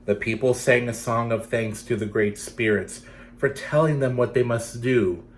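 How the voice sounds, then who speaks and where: A man's voice: mid-range voice, moderate pace. Playful male storytime reader, some background noise. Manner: playful, addresses young listeners directly. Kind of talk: children's picture-book reading with asides.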